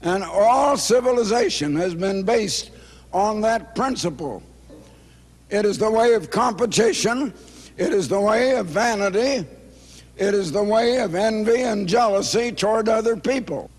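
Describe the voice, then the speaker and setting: Hoarse elderly man, eighties, high-pitched voice, grave formal address, long hall reverb. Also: lively intonation. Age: 80s. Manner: grave, emphatic, measured phrases. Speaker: speaker addressing an audience. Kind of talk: slow formal address through an interpreter.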